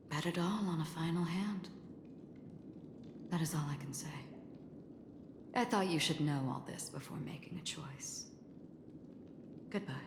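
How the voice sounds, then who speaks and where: A woman voicing a calm, solemn wandering swordswoman in a video game, measured, polite and cryptic. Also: sits low, background noise. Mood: solemn warning. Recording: echoey reverb, music behind.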